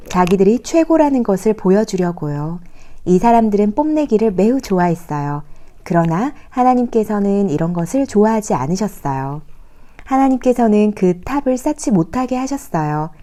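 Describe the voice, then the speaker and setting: A woman narrating scripted Bible stories for small children in a gentle, explanatory way. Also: medium pitch, expressive, moderate pace. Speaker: storyteller.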